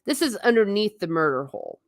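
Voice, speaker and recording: A woman's voice: medium pitch, sing-song, a little fast, no room sound, studio quality. A middle-aged woman chatting on a stream about travel photos, rambling and self-deprecating. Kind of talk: casual stream commentary.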